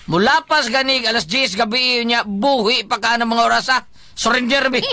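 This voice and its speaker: A wisecracking Cebuano doctor in a comic radio drama. The voice is bright and forceful, with a frank, teasing way of humoring a patient. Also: male voice, very high voice, moderate pace, full character voice. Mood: mock serious.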